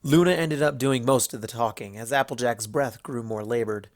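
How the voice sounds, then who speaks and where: A man's voice: medium pitch, moderate pace, no echo, studio quality. A man giving a scripted storybook narration as the narrator.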